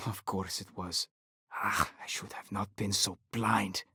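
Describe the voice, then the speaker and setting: A man's voice: fairly low pitch, fairly even intonation, takes it slowly, sharp tone, breathy edge, no room sound, studio quality, heavily acted. A man playing a bitter, proud, middle-aged widowed father in a video game, speaking in a stern, indignant way with clipped, formal phrasing. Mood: remorseful, sad.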